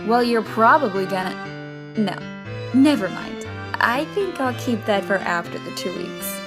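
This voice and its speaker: A woman's voice: a little high, lively intonation, unhurried, music in the background. A woman playing a bold young fighter, a teasing older sister, in an audio drama, with a casual dry delivery. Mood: playful.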